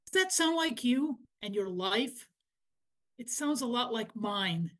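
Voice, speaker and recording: A woman reading a scripted scripture reflection in a measured, earnest way with clear phrasing. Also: on the high side. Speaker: library director giving a scripture reflection. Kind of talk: scripted devotional reflection, read aloud.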